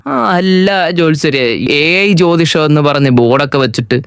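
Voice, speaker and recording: A man's voice: on the high side, a little fast, clean tone, no room sound, clean studio sound, lightly acted. A man playing a cheeky, meddling friend who teases and wisecracks in a comedy cartoon. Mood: nosy, bargaining. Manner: wisecracking, teasing. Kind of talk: comedy cartoon skit dialogue.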